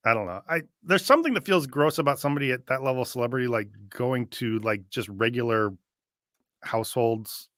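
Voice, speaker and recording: Bright-voiced man, very dry close sound. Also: mid-range voice, expressive, fairly quick, no echo. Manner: conversational, opinionated. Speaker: show co-host. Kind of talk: casual reaction commentary.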